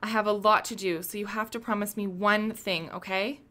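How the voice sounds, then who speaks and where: A woman's voice: medium pitch, steady tone, normal speed, bright, forceful tone, lightly acted. A woman playing a commanding, protective woman in charge in a radio drama, firm and authoritative. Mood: firm, reassuring. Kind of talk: radio play acting.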